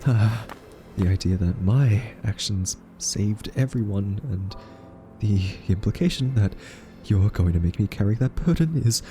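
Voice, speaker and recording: Over faint music, a man acts out a quirky, anxious male scientist in an audio roleplay. His low voice is soft and mellow, and he pleads his case with hesitant, earnest care. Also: lively intonation. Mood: overwhelmed, panicky. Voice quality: soft-spoken. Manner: hesitant, halting, earnest reasoning. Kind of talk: audio roleplay monologue to the listener.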